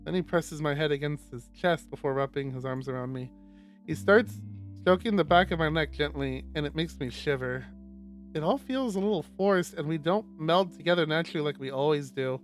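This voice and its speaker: A man's voice: a little high, a little fast. A man streaming a visual novel, reading first-person fiction aloud. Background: guitar music.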